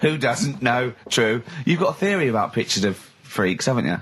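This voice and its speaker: Husky-voiced man bantering on radio. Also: mid-range voice, sing-song, moderate pace, fairly bright tone. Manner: teasing, prodding with questions. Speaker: radio show host.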